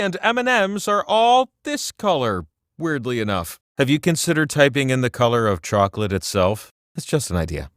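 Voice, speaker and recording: A man voicing a whimsical, all-knowing talking wheel that hosts a trivia video game, theatrical and playful, with drawn-out emphasis. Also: sits high, a bit bright, dry recording, studio quality. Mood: whimsical, musing. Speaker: game show host. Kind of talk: scripted trivia game hosting.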